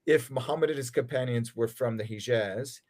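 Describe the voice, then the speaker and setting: A man's voice: medium pitch, moderate pace, a little mellow. A man hosting a livestream, moderating a question-and-answer conversation and restarting tentatively as he steers the discussion. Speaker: livestream host.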